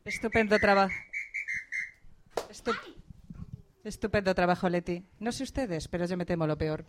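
A woman acts an alarmist reporter in a radio spoof. She is doom-laden and stumbling, in a medium-low register for a woman, with slight noise behind her. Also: normal speed, natural voice. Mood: fearful. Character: gloomy, alarmist female reporter Federica. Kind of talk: radio theatre parody.

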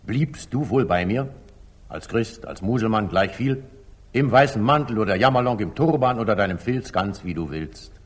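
Noble older sultan, husky, big swings in pitch, grand old radio drama delivery, stately and indulgent. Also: male voice, animated, moderate pace. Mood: gracious, musing. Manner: stately, declamatory, swinging from soft to emphatic. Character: magnanimous older sultan, commanding yet indulgent, ruler of Jerusalem. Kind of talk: 1940s German radio drama acting, classical stage verse. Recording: slightly muffled 1940s radio studio recording.

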